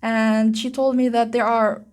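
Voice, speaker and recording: A young woman from Algeria with an Algerian accent in English, talking through her views in a casual vlog, pausing often with "um" and "uh". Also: a little high, little pitch movement. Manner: thoughtful, pauses often with "um" and "uh". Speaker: channel host.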